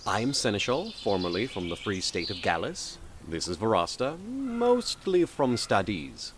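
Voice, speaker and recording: A man's voice: on the low side, big pitch swings, a little slow, a little hiss or hum. A man playing a wry adventurer who leads his companions in a fantasy audio drama. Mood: genial.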